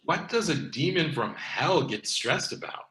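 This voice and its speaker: A man's voice: on the high side, animated, unhurried, sharp tone. A man playing a wary, exasperated sidekick in a comedy script read. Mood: skeptical. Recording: roomy, echoing sound.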